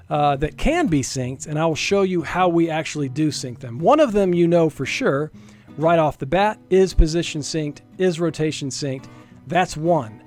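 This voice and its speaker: A man's voice: fairly high pitch, darkish tone. A man narrating an informal screen-share programming demo as a developer, explaining step by step in a casual way and stressing key points, with guitar music behind him. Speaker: software developer presenting a demo. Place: indoors, screen recording voice-over.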